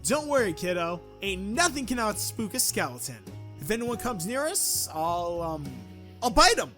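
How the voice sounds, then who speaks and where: A man's voice: high voice, sing-song, normal speed, pushed and bright, soft background music, full character voice. A man voicing a wisecracking, impatient skeleton in an audio drama, grumbling and sarcastic in a folksy way. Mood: nervous bravado. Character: wisecracking, impatient male skeleton, talking head. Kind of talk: audio drama character acting.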